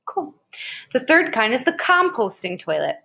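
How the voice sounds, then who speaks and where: A woman's voice: a little high, sing-song, normal speed, gravelly, bright, forceful tone. An American woman reading an educational article aloud in a conversational way, dropping in short casual asides. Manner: conversational, interjects short reactions. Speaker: reader of an article aloud to a child.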